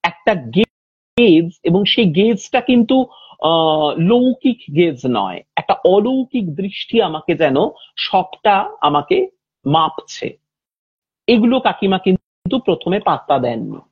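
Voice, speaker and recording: A woman with a slightly breathy, husky voice tells eerie real-life stories as if chatting. She takes her time over details and quotes the people involved. The recording is a little dull and lo-fi. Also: fairly low pitch, big pitch swings, no room sound. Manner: unhurried, detailed, acting out quoted speech. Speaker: host of a supernatural story chat. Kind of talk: conversational retelling of real-life ghost stories.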